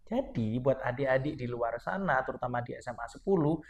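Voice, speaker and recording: A man's voice: medium pitch, animated, a little fast, slight background noise. A man in his thirties from Madura, a podcast guest giving earnest, encouraging advice to young listeners in a casual chat. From Madura, Indonesia.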